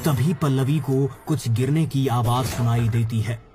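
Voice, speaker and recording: A man's voice: medium pitch, unhurried, dark tone. A man narrating a scripted horror story for a cartoon. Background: background music.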